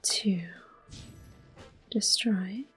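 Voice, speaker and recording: A young woman giving calm, soft-spoken ASMR commentary on a game she is playing, drifting often into close whispers with gentle fillers. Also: medium pitch, very slow, husky. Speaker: ASMR gaming host. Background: faint video game music.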